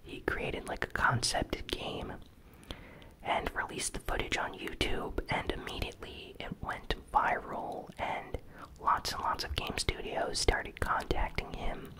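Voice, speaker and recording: A host whispering a casual, conversational ASMR gaming news recap in a breathy, unvoiced whisper. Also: measured pace, poor audio.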